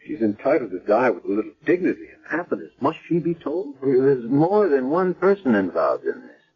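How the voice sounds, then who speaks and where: An actor playing a measured, thoughtful man doctor in a 1970s radio drama. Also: medium pitch, huge pitch swings, husky, full character voice. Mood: calm. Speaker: hospital doctor. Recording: dull lo-fi vintage radio recording.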